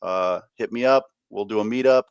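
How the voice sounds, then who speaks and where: A man's voice: fairly low pitch, normal speed, clear, resonant tone, no room sound. A man co-hosting a podcast in casual conversation, often restarting his phrases. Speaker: podcast co-host.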